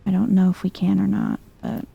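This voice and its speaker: A soft-spoken woman doing chill, playful ASMR game commentary, often close to a whisper. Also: medium pitch, wildly swooping pitch, fairly quick, gravelly, highs cut off, noisy background. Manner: chill, playful muttering to herself. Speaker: gaming video host.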